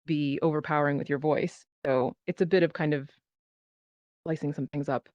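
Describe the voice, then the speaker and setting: A theater maker chatting casually on a panel in a halting, informal way. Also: mid-range voice, fairly even intonation, no echo. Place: virtual reality event, voice over personal microphone. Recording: dull, lo-fi dry sound.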